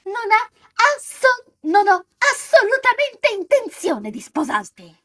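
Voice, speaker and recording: Woman acting haughty, very high-voiced young noblewoman. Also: huge pitch swings, takes it slowly, sharp tone, exaggerated voice. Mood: furious. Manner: indignant, petulant, imperious. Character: haughty, furious young noblewoman refusing her fiancé. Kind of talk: dramatic live reading of a visual novel.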